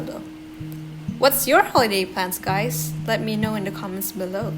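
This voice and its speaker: A woman's voice: medium pitch, poor audio. A young woman from Indonesia, whose first language is Indonesian, chatting casually through a Q&A voice-over with a giggly, self-deprecating manner. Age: young adult. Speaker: artist vlogger. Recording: music playing behind. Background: soft guitar music.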